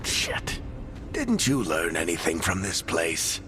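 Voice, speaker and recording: An actor playing a warm, weary, wry mentor saying farewell in a cinematic video game, speaking informally and unhurriedly. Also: male voice, low-pitched, wildly swooping pitch, slow pace, heavily acted. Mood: gentle, wry farewell. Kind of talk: cinematic game dialogue acting. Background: music.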